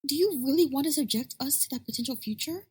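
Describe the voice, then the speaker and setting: A woman voicing a stubborn, devoted young pony wife, earnest and breathy, in a fan fiction audio drama. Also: sits high, quick pace, a little mellow, close to an everyday voice. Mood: worried insistence. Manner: earnest, insistent.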